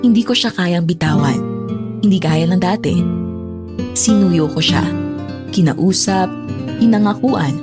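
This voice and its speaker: A woman's voice: lowish voice, unhurried, long pauses, loud music behind the voice, lightly acted. A woman playing a possessive, reflective character confessing in an audio drama monologue. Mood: brooding.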